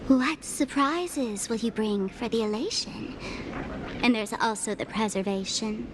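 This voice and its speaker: A woman's voice: a little high, unhurried, noisy background, lightly acted. A woman playing a playful, mischievous young game character who scorns boredom, speaking in a theatrical, teasing way. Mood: intrigued. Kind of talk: animated video game character dialogue.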